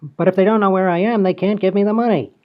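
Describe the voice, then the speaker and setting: A man's voice: high-pitched, steady tone, fast, natural voice. Guy doing a clueless bungling crook, defensive n whiny, radio comedy, kinda lo-fi. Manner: naive, defensive, whining excuses. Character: dim, defensive bungling small-time male crook. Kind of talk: comedy radio play acting.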